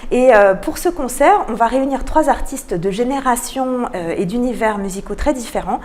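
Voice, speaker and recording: A woman's voice: a little high, lively intonation, moderate pace, darkish tone. Woman explaining as an interview guest. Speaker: guest, cultural programme manager. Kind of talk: radio interview, explanatory answers.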